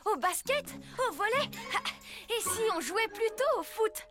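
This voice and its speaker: Very high-voiced little boy superhero. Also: expressive, a little slow. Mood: sheepish. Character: impulsive, confident six-year-old boy superhero in cat costume. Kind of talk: French animated cartoon dubbing. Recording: music behind the voice.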